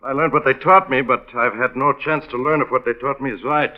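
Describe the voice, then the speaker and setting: A man's voice: medium pitch, steady tone, a little fast, natural voice. A man playing a polite, earnest native island policeman in a 1950s radio drama, speaking in formal, careful phrases. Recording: muffled vintage radio broadcast.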